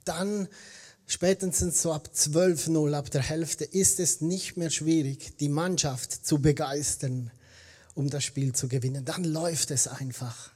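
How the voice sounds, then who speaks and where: A man's voice: a little high, steady tone, a little slow, darkish tone. A Swiss German man with a Swiss accent, preaching a sermon as a guest pastor in standard German, telling stories conversationally and correcting himself as he goes. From eastern Switzerland. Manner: conversational storytelling, self-correcting.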